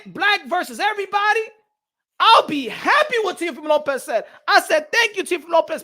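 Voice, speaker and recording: A man from the Dominican Republic hosting a boxing podcast, ranting heatedly on a live stream, reading chat, mimicking others and swearing. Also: very high voice. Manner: excitable, swears, mimics others, switches into Spanish.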